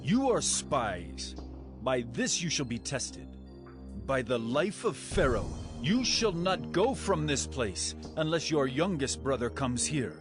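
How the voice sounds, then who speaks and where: An actor playing a stern, accusing governor of Egypt in a dramatized scripture recording, commanding and deliberate. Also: male voice, mid-range voice, sing-song. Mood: stern, threatening. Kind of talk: dramatized scripture audio acting. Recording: music behind the voice.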